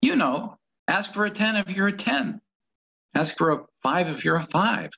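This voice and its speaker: A man's voice: high-pitched, expressive, normal speed, gravelly, sharp tone, full character voice. An actor playing a fussy buddy who nitpicks and stammers over his corrections, heard through muffled call audio.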